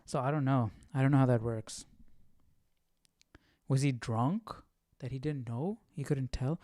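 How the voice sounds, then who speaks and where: A man's voice: medium pitch, measured pace, mellow, dry recording. A man hosting an informal livestream study, reading aloud and musing as he comments into a close microphone. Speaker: livestream host. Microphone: close microphone with windscreen.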